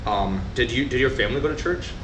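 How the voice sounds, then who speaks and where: A man hosting a casual interview podcast, chatty and quick to finish his guest's sentences. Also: mid-range voice. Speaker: podcast host. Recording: echoey room with loud background noise.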